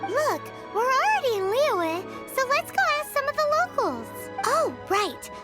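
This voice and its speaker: A woman voicing a tiny, excitable, childlike fairy companion in a video game, squeaky and bubbly. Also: measured pace, exaggerated voice. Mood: chatty, explaining. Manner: bubbly, exclamatory. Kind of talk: video game cartoon voice acting. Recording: loud music under the voice.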